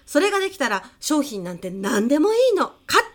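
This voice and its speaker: A woman's voice: high-pitched, very animated, fairly quick. A woman playing a pushy, self-assured business coach and hypnotist in a comedic radio drama, teasing, condescending and dramatic. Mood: condescending, brisk.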